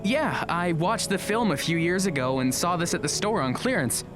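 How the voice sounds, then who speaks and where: A woman playing an easygoing, modest high school student in an audio drama, casual and slightly hesitant, with music behind. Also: animated, normal speed, natural voice. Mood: relaxed.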